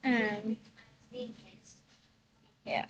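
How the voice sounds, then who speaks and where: A woman's voice: a little high, level tone, very slow, muffled recording. A woman chatting casually as she gives commentary on her gameplay. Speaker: game streamer. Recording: noticeable background noise.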